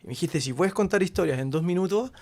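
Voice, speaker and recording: Brief: Chilean-accented male host, thirties, lively and slangy, high-pitched for a man. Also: quick pace. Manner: animated, laughing, slangy, acts out quotes. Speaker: podcast host. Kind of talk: casual interview chat.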